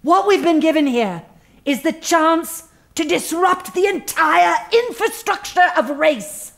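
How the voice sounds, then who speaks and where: A manipulative female HR executive for a satirical radio play. Her voice is very high and she takes her time over her words. She coaxes with emphatic, relentlessly positive corporate polish. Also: expressive, takes it slowly, gravelly, a bit bright, exaggerated voice. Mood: persuasive. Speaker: actor. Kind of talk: satirical audio drama acting.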